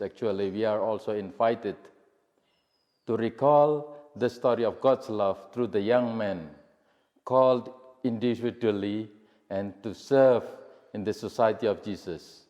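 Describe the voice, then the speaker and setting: A man delivers a slow, measured introduction in a dark, mellow voice. The sound carries a long reverb, as in a large room. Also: on the low side, highs cut off. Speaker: introducer of the testimonies. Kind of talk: formal spoken introduction.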